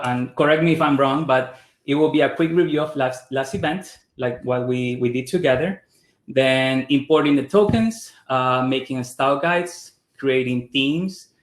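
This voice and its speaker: Man, from Ecuador, meetup host, chatty, filler-heavy, echoey room, video call headset. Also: mid-range voice. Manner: friendly, many fillers and restarts, mixes in Spanish greetings. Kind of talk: casual online meetup introduction. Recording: roomy echo on a video call.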